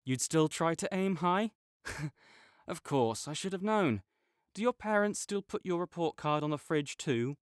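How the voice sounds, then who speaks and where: Man doing a sarcastic young academic rival, defensive, fairly high, animated voice, dry close mic. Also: measured pace, smooth, dry recording. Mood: bickering. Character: prickly young male academic rival, grudgingly kind. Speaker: voice actor in audio roleplay. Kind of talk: audio roleplay acting to a silent listener.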